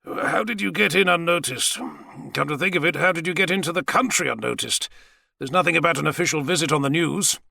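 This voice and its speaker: A man's voice: a little high, fairly quick, vocal fry, sharp tone, no echo, pitched above the performer's own voice, exaggerated voice. Man acting a sardonic, articulate captive professor. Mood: probing. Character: brilliant captive professor, wry, composed, sardonic.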